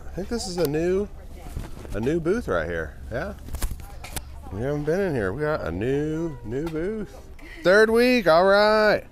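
A man's voice: a little high, a little hiss or hum. A man casually vlogging as he browses a flea market, chatting upbeat to his viewers. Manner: chatty, upbeat, talks to viewers as "you guys". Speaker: reseller vlogger. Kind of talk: casual walkaround vlog.

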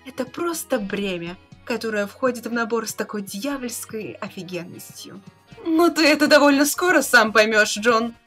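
A woman's voice: fairly high pitch, expressive, normal speed, fairly bright tone, faint music behind. A woman playing a smug, condescending young schemer with a theatrical manner in a webcomic reading. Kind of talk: dramatic reading of a webcomic chat.

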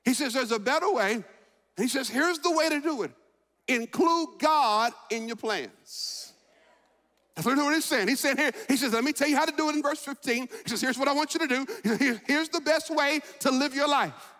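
A man in his forties preaching a sermon as pastor, shifting from loud shouting to quiet reflection and repeating phrases for emphasis. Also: extremely high pitch, moderate pace. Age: 40s. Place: large church sanctuary. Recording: long reverb of a large church.

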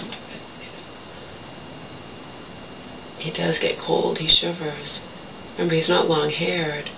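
A woman's voice: low-pitched, gravelly, background noise. A woman in her thirties chatting casually to camera in a small bedroom, meandering and blunt, swearing freely. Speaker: vlogger. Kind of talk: rambling casual chat to camera. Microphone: camera across the room. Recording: distant, muffled camera audio.

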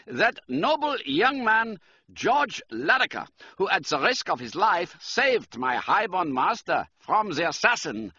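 A man's voice: high voice, sing-song, a little slow, sharp tone, husky, dry recording, lo-fi sound, voice pushed up, full character voice. A man voicing a stiff, ceremonious German count with a florid, formal way of speaking in a storybook reading. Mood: urgent, admiring.

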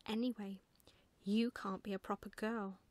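A woman's voice: medium pitch, level tone, normal speed, dry recording, close to an everyday voice. A woman voicing a naive, earnest young male barn owl in a storybook, childlike and easily cross. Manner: childlike questioning, indignant protests.

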